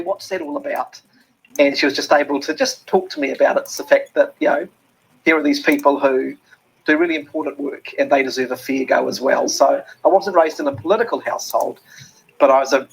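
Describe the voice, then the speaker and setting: Gravelly, husky New Zealand man. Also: medium pitch, low-quality recording. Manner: earnest, many ums and restarts. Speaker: interview guest. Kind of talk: reflective interview talk.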